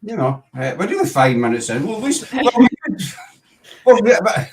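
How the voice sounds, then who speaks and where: A man's voice: high voice, very animated, normal speed, darkish tone, far from the mic. A Glaswegian Scottish man hosting a casual livestream chat, rambling and breaking off to greet viewers. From Scotland. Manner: rambling, self-interrupting, chatty with viewers. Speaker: host.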